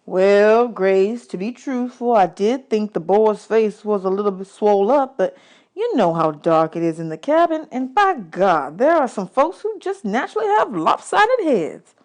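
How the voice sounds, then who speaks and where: A woman's voice: mid-range voice, very animated, a little slow. Affable grown bandsman voiced by a woman reader, folksy and emphatic, a storybook part. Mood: defensive.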